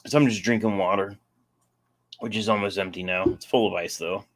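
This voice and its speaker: A man's voice: lowish voice, fairly even intonation, brisk. A young man casually hosting a live stream, rambling and answering chat, his voice getting sore.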